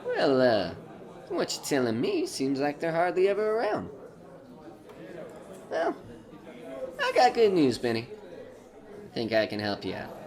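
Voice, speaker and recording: A sly, cheerful devil's salesman acted out by a man works his mark in a retro horror radio play. His folksy patter wheedles and coaxes, with pitch leaping all over the place. A crowd murmurs clearly behind him. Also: huge pitch swings, measured pace, pauses often, darkish tone, full character voice. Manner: wheedling, colloquial patter with tacked-on questions. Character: folksy, smooth-talking devil's contract salesman, male, slyly cheerful. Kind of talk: retro horror audio drama acting.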